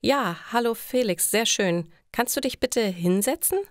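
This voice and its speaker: Woman acting direct young woman, raised pitch. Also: expressive, measured pace, no echo, studio quality. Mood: annoyed. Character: young woman neighbour, direct, helpful, fond of crime shows. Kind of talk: German learner story dialogue.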